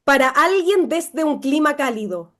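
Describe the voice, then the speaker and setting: A woman's voice: high voice, expressive, moderate pace, fairly bright tone. A woman from Chile, interviewing in a casual, playful, chatty way.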